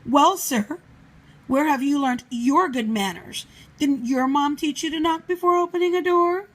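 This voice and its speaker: A woman playing a polite, inquisitive young girl in a storybook reading, with an expressive, questioning manner. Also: high voice, unhurried, voice pushed up, low-quality recording. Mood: indignant.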